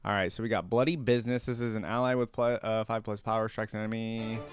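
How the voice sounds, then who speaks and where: A man's voice: lowish voice, steady tone, fast, faint music behind. Enthusiastic man, rambling reaction host. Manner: enthusiastic, rambling, many fillers. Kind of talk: casual reaction commentary.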